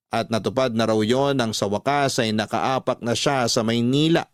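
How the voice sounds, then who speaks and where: A man's voice: medium pitch, dry recording. Dry-sounding male radio storyteller. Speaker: radio host narrator. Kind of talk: scripted first-person story narration. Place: dry studio.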